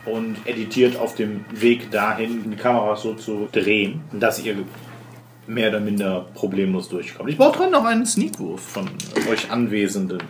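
A man's voice: on the low side, lively intonation, moderate pace. A man narrating and refereeing a tabletop role-playing game as game master. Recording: roomy echo, clear background noise.

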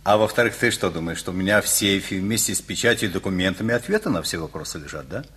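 An actor playing a harried, good-natured factory official nearing fifty in a radio play, with a folksy, philosophizing manner. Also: male voice, deep voice, animated, fast, fairly bright tone, slightly rough, heavily acted. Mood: reflective. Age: middle-aged.

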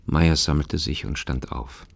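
Very deep, gravelly male narrator. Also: a little slow, slight background noise. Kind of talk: scripted literary narration for a radio play. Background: plucked guitar music.

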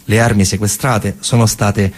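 A man with a central Italian, Roman accent performing a colloquial, emphatic theatrical storytelling monologue, pausing and repeating words, in a gravelly, husky voice. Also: lowish voice, little pitch movement. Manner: colloquial, emphatic, with pauses and repetitions. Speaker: stage actor and narrator.

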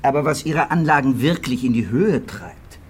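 A man playing a self-assured, salesmanlike stockbroker who explains things smoothly in a radio drama. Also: mid-range voice, lively intonation, moderate pace. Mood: confident. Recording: faint music bed behind the voice.

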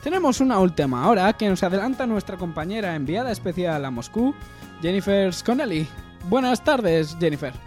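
A man's voice: sits high, very animated, moderate pace, darkish tone, soft background music, pitched above the performer's own voice. A man playing a formal, self-important news anchorman in a parody radio bulletin. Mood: mock-formal. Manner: formal, announcer-like.

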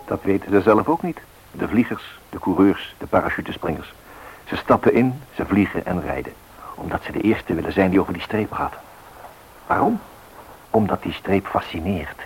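A musing, philosophical man in a radio drama. He has a very low voice and deliberate short phrases, with faint hiss behind. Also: rough recording.

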